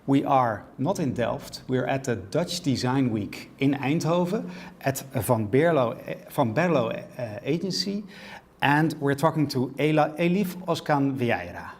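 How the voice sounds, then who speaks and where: A man's voice: medium pitch, animated, a little slow, husky. A man hosting a podcast interview at a design event, with a mid-range voice. He sounds eager and chatty. He often trips over his words and repeats himself. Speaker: podcast host. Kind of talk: casual interview talk. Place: design exhibition venue.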